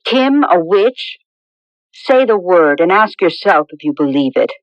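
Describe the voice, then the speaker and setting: An actress playing an impatient, skeptical young fiancée in a radio drama, firm and dismissive. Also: female voice, lowish voice, big pitch swings, a little slow, no room sound. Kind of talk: 1980s radio drama acting. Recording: dull lo-fi radio broadcast recording.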